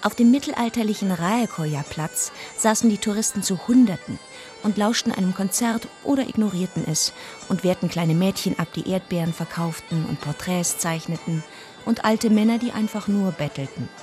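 A woman's voice: mid-range voice, normal speed, a little mellow, soft background music, close to an everyday voice. Radio drama: actress as wistful, tender deaconess, mid-range female voice, partner to a police inspector. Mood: reflective narration. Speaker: actress, also narrating the story. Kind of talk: German radio drama dialogue and narration.

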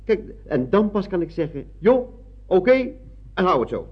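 An actor playing an earnest, deferential, naively idealistic employee in a radio comedy, speaking formally. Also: male voice, sits high, very animated, normal speed, husky, exaggerated voice. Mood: earnest, proud. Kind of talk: Dutch radio comedy acting, around 1970. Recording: dull old radio recording.

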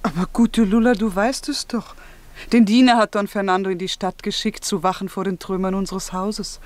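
An actress playing a gentle, anxious young noblewoman and mother in a 1950s German radio play, with a soft, measured delivery. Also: medium pitch, steady tone, lightly acted.

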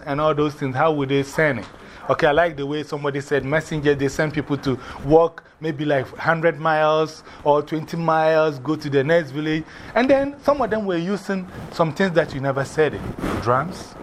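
A man from Ghana with a Ghanaian accent, teaching a class in a lively way, often repeating and spelling out words. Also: a little high, normal speed, slight background noise.

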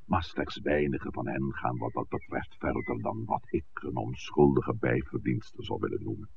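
Very deep-voiced, dignified village priest. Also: male voice, vocal fry. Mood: measured, evasive. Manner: formal, deliberate, wordy, hesitant at times. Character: dignified, evasive village parish priest, traditional and eloquent. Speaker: village priest in a radio play. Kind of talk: 1960s Dutch radio drama acting. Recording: muffled, noisy old radio recording.

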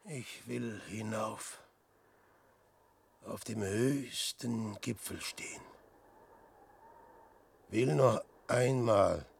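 An actor in a classic German radio play, playing an aging, despairing wanderer who speaks slow, declamatory verse. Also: male voice, fairly low pitch, vocal fry, a little hiss or hum, heavily acted. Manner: slow, declamatory verse, swinging from loud outbursts to hushed murmurs. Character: Peer, an aging despairing wanderer facing death.

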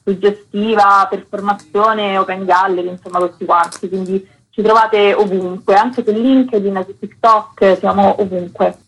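A woman's voice: mid-range voice, fairly even intonation, normal speed, few pauses, slightly rough, rough recording. A woman speaking conversationally as an interview guest promoting an arts festival.

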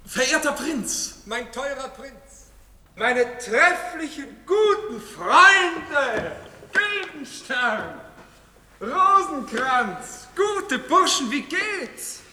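A man playing an exuberant prince in a classical stage play, declaiming grandly in drawn-out phrases. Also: very high voice, big pitch swings, bright, forceful tone, a little hiss or hum, exaggerated voice. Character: theatrical prince greeting old friends, grandly exuberant. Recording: echoey stage hall, long reverb.

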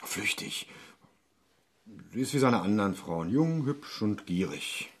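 A man's voice: lowish voice, lively intonation, takes it slowly, very noisy. A man acting an irritated husband in a German radio drama, giving curt, dismissive answers. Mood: curt irritation.

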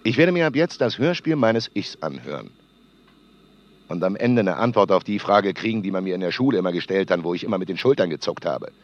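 Obsessive, bitter audio diarist in a radio drama. Swinging intonation, grandiose brooding, muffled tape sound. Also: male voice, sits low, expressive, fairly quick. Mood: bitter, determined. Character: obsessive, embittered male radio-play maker keeping an audio diary. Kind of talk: acted spoken tape diary in radio feature. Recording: dull lo-fi tape diary.

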